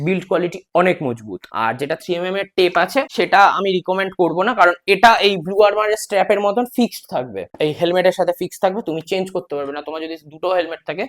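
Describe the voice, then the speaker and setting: A casual vlogger presents a gear setup in an informal, hands-on way. The delivery is instructional and addressed straight to the viewer, going one step at a time. English words and phrases are mixed into the talk. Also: mid-range voice. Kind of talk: casual product walkthrough, explaining step by step.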